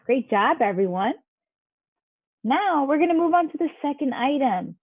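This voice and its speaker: A woman's voice: sits high, big pitch swings, moderate pace, no echo. A woman leading a children's activity, giving simple instructions and encouragement to young kids. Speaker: children's game leader. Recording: dull, lo-fi, dry audio.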